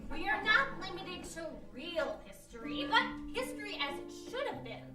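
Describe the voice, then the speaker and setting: Casting: actress as bossy fantasy-club leader, very high and animated, echoey live stage. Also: female voice, moderate pace. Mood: proud, assured. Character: zealous, bossy fantasy society co-chair, self-important woman. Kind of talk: live comedic radio-play acting. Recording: echoey stage, distant mic, loud music.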